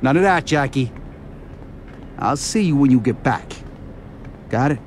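A man's voice: mid-range voice, expressive, a little slow, a little mellow, slight background noise, close to an everyday voice. A man voicing a loyal, hot-headed mob henchman in a video game, brash and streetwise. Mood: pleading, loyal.

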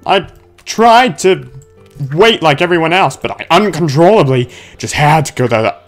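A man's voice: fairly high pitch, animated, a little fast, faint music behind. A man giving casual, playful video game commentary as a let's play host, talking back to the game's characters.

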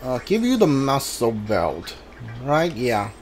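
A video game player giving casual live playthrough commentary, thinking aloud in a halting way with mumbled asides. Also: mid-range voice, huge pitch swings. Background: video game music.

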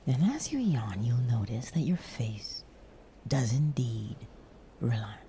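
A woman guiding a sleep meditation in a soothing, breathy voice that often drops near a whisper, with unhurried pauses between short instructions. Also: extremely low pitch, lively intonation, mellow, slight background noise. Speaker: guided meditation host.

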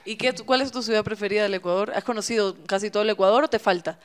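A woman's voice: medium pitch, rapid-fire, clear, resonant tone. A middle-aged woman hosting an interview, asking short questions and giving brief affirmations. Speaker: interview host. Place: small decorated studio set. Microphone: stand mic.

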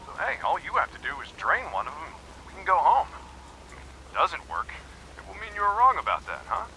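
A man's voice: high voice, wildly swooping pitch, fairly quick, vocal fry, pushed and bright, a little hiss or hum, exaggerated voice, rough recording. An actor playing an earnest, protective American lawman in a video game, cautious and urgent as he gives instructions. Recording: game music behind the voice.

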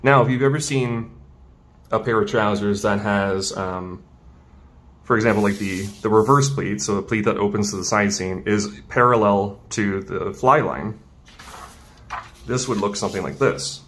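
A man with a fairly low voice walks through a hands-on task at a work table. He speaks informally, thinking aloud as he goes, like an instructor showing a craft. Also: moderate pace, fairly bright tone, slight background noise. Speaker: tailoring instructor. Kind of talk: casual hands-on demonstration.

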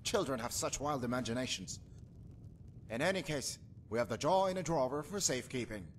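Man acting country father, fantasy game, superstitious, cheerfully macabre, mid-range voice, rumble behind. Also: animated, brisk, lightly acted. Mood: breezily macabre. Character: jovial superstitious rustic husband and father, callous, cheerfully macabre. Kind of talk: video game character acting.

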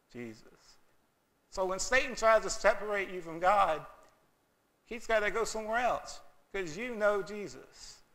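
A man's voice: fairly high pitch, pushed and bright, poor audio. A man preaching a sermon in a church, earnest and emphatic, often acting out quoted voices. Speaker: pastor. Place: church sanctuary.

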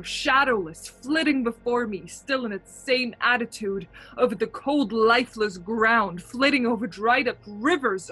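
Haunted young woman played by an actress, higher-pitched. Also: a bit bright, slightly rough, low-quality recording. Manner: theatrical, brooding, fraught. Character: Ronnie Adams, haunted young woman traveller narrating her ordeal. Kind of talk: suspense radio play acting, dramatic monologue. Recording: music bed under home-recorded radio play.

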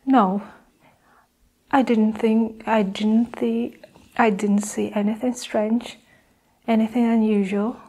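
A young woman playing a guarded, defensive nurse under questioning in an improvised ASMR roleplay, measured and halting as she justifies herself. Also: on the high side, level tone, warm tone. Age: young adult. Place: small indoor room with curtain backdrop. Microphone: clip-on lapel mic.

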